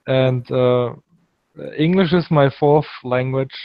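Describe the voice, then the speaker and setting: A man's voice: medium pitch, takes it slowly, dry recording, dull audio. A man chatting casually on a group video call, hesitant and often repeating words as he searches for English. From Germany. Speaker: call participant. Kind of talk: casual online group chat.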